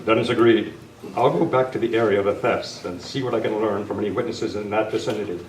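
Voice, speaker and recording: A man's voice: lowish voice, quick pace, natural voice. Man playing the Lone Ranger, earnest masked lawman. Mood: resolute. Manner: formal, heroic, earnest. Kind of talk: old-time radio western script reading. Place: club meeting room, live presentation. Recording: echoey, noisy room, distant mic.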